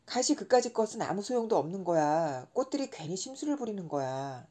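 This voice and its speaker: A woman's voice: mid-range voice, sing-song, brisk, mellow. A woman voicing a distracted grown-up pilot in a storybook reading, answering in a brusque, offhand way. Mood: irritated.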